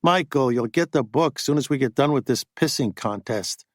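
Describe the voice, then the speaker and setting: A man voicing a pushy, profane, fast-talking male Hollywood agent in an audiobook. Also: medium pitch, animated, no room sound, clean studio sound, natural voice. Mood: brash. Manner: pushy, profane, rapid-fire.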